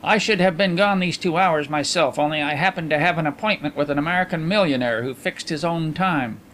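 A man's voice: fairly high pitch, a little fast, a bit bright, a little hiss or hum, voice pushed up, lightly acted. A man voicing a genial, shrewd middle-aged male coin dealer who chats in an easygoing, knowing way. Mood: chatty.

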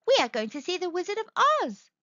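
A woman's voice: very high voice, big pitch swings, fast, fairly bright tone, dry recording, lo-fi sound, pitched above the performer's own voice, exaggerated voice. A woman voicing an earnest, wide-eyed, pleading little girl from a storybook. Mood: encouraging. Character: Dorothy, small earnest homesick storybook girl.

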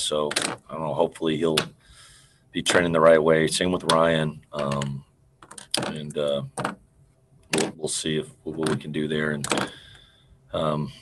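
Very deep-voiced halting coach. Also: male voice, background noise. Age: middle-aged. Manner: halting, restarts sentences, trails off quietly. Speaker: head basketball coach. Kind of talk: postgame press conference answers. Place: indoor room in front of a team backdrop. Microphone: video call computer mic.

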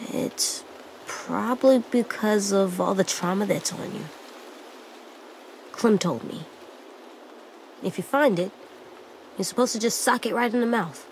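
A woman voicing a blunt, guarded little boy survivor in a video game, speaking in terse, halting lines. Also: mid-range voice, darkish tone, a little hiss or hum, close to an everyday voice, low-quality recording. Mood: earnest, forgiving. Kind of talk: naturalistic video game dialogue acting. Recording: faint outdoor stream ambience.

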